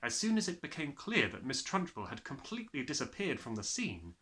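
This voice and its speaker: A man narrates a children's book as an audiobook reader. His voice is bright and forward, with very animated pitch movement that gives the telling an expressive shape. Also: brisk.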